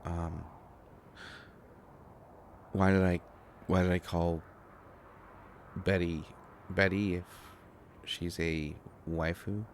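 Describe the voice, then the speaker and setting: A man acting a shy, flustered, devoted inventor boyfriend in an intimate roleplay audio drama, talking in halting, stammering rambles. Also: low voice, little pitch movement, slight background noise, heavily acted. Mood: modest, tender.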